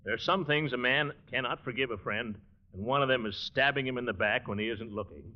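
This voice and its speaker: An actor playing a pompous, easily exasperated middle-aged uncle in a 1940s radio sitcom, flustered and grumbling theatrically. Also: male voice, medium pitch, a little fast, natural voice. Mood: solemnly indignant. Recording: dull band-limited 1940s radio recording.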